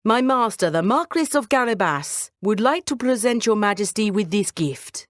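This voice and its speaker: Lady doing a sly confident talking boy cat for a kids story, courtly and persuasive, really animated, dry sound. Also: mid-range voice, normal speed, no echo, pitched above the performer's own voice, natural voice. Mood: courtly, bold.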